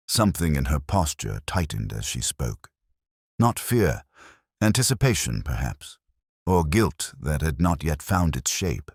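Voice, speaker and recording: A man acts out a brooding police inspector with an extremely deep voice that rises and falls expressively. He narrates in deliberate, literary phrases, weary and watchful, as in a detective radio play. The sound is dry, with no room around it. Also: husky, no echo, full character voice. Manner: measured, contemplative, deliberate phrasing.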